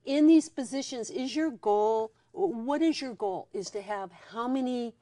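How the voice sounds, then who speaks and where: A woman's voice: fairly high pitch, measured pace, mellow, no room sound, studio quality. A woman hosting an interview, asking questions and affirming her guest in an engaged way.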